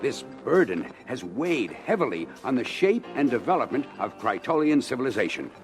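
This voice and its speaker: A man acts as a dignified government minister in a sci-fi audio adventure. His mid-pitched voice swings expressively through formal, oratorical phrases, with clear music behind it on a vintage LP. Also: very animated, moderate pace, lightly acted. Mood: grave, lecturing. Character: grave, dignified male government minister of space colony. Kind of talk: audio adventure record acting, briefing speech.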